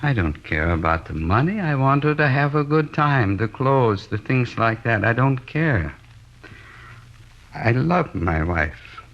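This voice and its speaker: An actor in a 1950s radio drama playing a henpecked, weary middle-aged American plasterer who rambles plaintively and hesitantly. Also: male voice, medium pitch, big pitch swings, measured pace. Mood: plaintive, defensive. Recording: dull, band-limited old radio recording.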